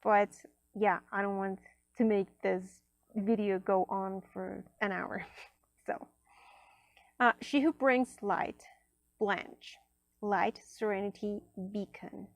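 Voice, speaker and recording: A woman whose first language is Spanish, casually reviewing an oracle deck at a table, chatty, with many fillers and soft near-whispered asides. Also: mid-range voice, fairly even intonation, slow pace, gravelly, no room sound. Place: indoors at a table.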